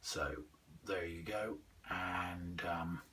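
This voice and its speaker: A man giving a casual, halting, unhurried hands-on demonstration, thinking aloud as he goes. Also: low voice, steady tone, sharp tone, distant mic, a little hiss or hum. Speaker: radio hobbyist reviewer.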